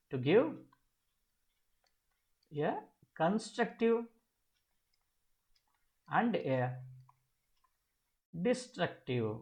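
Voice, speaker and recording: Physics teacher, step-by-step problem walkthrough, didactic, self-answered questions, repeated key phrases, medium pitch.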